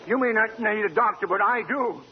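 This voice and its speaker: An actor playing a nervous, simple, easily spooked bridge keeper in a 1940s radio drama, stammering. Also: male voice, high voice, brisk, close to an everyday voice. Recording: dull, hissy 1940s radio recording.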